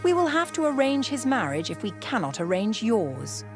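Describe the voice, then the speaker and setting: A woman's voice: a little high, sing-song, normal speed, pitched above the performer's own voice. A woman voicing a proud, scheming older aristocratic grandmother in a storybook, formal and assured. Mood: firm. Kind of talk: period storybook dialogue. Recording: music behind the voice.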